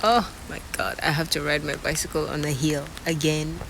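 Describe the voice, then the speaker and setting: Young woman vlogger, deep-ish voice, casual storyteller; phone at arm's length, heavy traffic noise. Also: fairly even intonation, moderate pace, creaky. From Nigeria. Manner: chatty, laughs often between lines. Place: outdoors near a road. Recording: noisy street recording with passing traffic.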